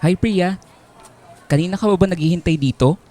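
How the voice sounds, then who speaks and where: A man playing a caring, gently fussing young college boyfriend in a Filipino radio drama, with an expressive, tender delivery. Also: sits high, normal speed, natural voice. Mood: apologetic, tender. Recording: music bed under the voice. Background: background music.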